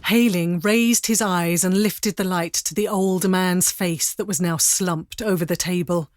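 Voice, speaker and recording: A woman giving measured, literary narration for a dramatised story. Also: on the low side, fairly even intonation, no echo, clean studio sound.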